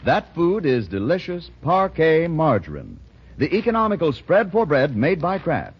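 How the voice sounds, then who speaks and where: A man announcing a 1940s radio show and reading its sponsor's message with a polished, earnest sales delivery. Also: a little high. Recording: dull, husky-sounding 1940s radio recording.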